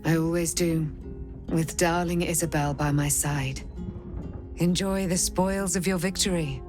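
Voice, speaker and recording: Actress doing proud pompous warrior lady from a fantasy game, slow n lowish, big speeches, music behind. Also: steady tone, close to an everyday voice. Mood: proud, fond. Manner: declamatory, pompous, stately. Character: grand, proud, devoted warrior heroine. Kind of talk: theatrical fantasy game acting.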